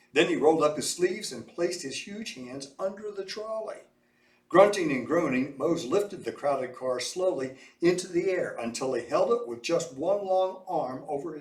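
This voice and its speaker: A man reading a children's story aloud with animated, emphatic, dramatic delivery. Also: a little high. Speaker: storybook reader. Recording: echoey room, distant mic.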